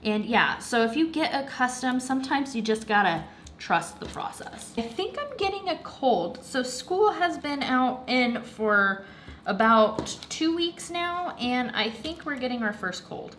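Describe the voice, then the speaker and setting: A woman's voice: sits high, big pitch swings, measured pace, a bit bright, a little hiss or hum. A young woman chatting casually about a crafting project at home, slightly congested and rambling with lots of fillers. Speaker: craft vlogger. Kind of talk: casual chat.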